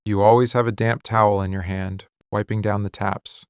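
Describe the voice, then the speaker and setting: A man playing a possessive, menacing mafia boss in a boyfriend ASMR roleplay, speaking in a controlled, deliberate, quietly commanding way. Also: lowish voice, level tone, clear, resonant tone, no room sound, clean studio sound, close to an everyday voice. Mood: suspicious, probing. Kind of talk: boyfriend ASMR roleplay, scripted dramatic monologue.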